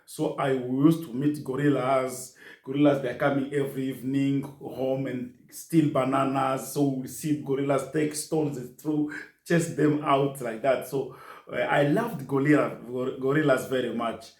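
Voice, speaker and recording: A middle-aged man from Congo speaks English, his being French. His voice sits at a middle pitch and he talks earnestly, circling back and repeating phrases as he goes. The recording is roomy, with clear echo. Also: steady tone, measured pace, darkish tone. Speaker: interview guest. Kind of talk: casual storytelling interview.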